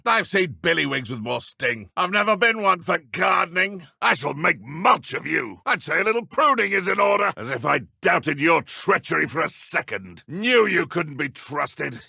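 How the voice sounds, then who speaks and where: Sneering British dark wizard. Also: male voice, fairly high pitch, gravelly, slightly rough, no echo, studio quality, full character voice. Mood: mocking. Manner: menacing, mocking, smug. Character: sneering, ruthless male dark wizard, thug and poacher. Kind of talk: video game combat and idle voice lines.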